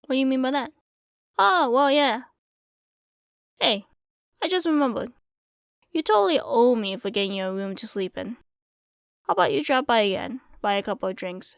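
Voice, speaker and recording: A woman's voice: on the high side, dry recording, clean studio sound. A woman playing an easygoing, wry former singer turned small-town bartender, chatting casually in an intimate ASMR roleplay. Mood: amused, teasing, then playful. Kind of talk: ASMR roleplay acting, intimate one-sided conversation.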